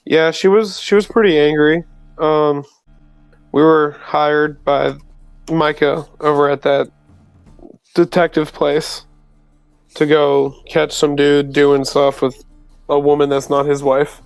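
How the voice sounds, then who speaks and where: A man's voice: fairly high pitch, little pitch movement, takes it slowly, vocal fry, long pauses, clean tone, no room sound, soft background music, low-quality recording. A man playing a tabletop roleplaying game, talking casually and a bit tentatively. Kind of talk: casual in-character roleplay chat.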